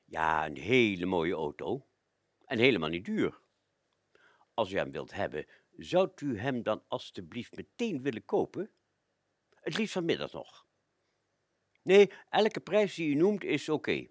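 A man's voice: lowish voice, very animated, a little slow, long pauses, dry recording, studio quality. A man playing an eager, obliging Cuban manservant in a radio play, with theatrical delivery. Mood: urgent pleading.

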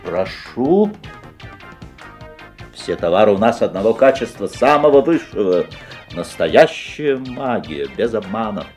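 An actor playing a polite, insinuating, mysterious magic-shop salesman in a radio play, with deliberate, unctuous courtesy. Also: on the low side, sing-song, a little mellow. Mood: smooth, inviting. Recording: 1970s audio play, music behind.

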